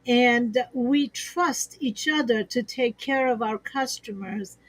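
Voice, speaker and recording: A woman with a fairly high voice talks through business partnerships in an upbeat, conversational way. She laughs easily and builds her points from examples. The sound is dry and close, as on a video call. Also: slow pace, dry recording, low-quality recording. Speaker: expert guest on a business livestream.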